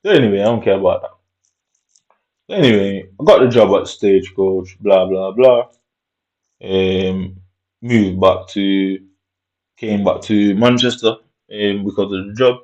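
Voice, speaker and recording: A man's voice: fairly low pitch, unhurried, pauses often, a little mellow. A man telling a story in a casual monologue, in streetwise slang, swearing and tagging lines with "innit". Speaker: vlogger. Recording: dull lo-fi recording.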